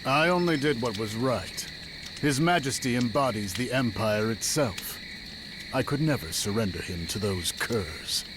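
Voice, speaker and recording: Man playing a slow, imperious warlord. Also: medium pitch, lively intonation, noisy background, natural voice. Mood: stern, proud. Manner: measured, commanding, menacing. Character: cold, imperious warlord lord, cruel and unyielding. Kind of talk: dramatic video game acting.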